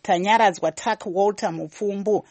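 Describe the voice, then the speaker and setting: A woman's voice: medium pitch, moderate pace, darkish tone, no room sound. A woman with a Zimbabwean accent hosting a live talk show, switching between Shona and English as she chats with listeners. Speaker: radio host.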